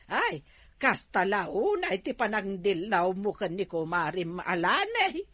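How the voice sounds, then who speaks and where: Husky, lively Ilocano village mother played by a woman. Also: medium pitch, takes it slowly, no room sound, heavily acted. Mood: teasing, admonishing. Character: gossipy village mother, frank and admonishing. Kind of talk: comedy drama dialogue.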